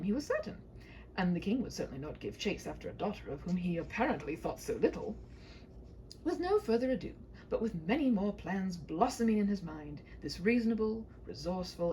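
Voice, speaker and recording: A woman reads a comic fairy tale aloud as storyteller. Her pitch moves animatedly and her delivery is theatrical and mock-grand, over faint background noise. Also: warm tone, rough recording. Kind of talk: humorous scripted story narration.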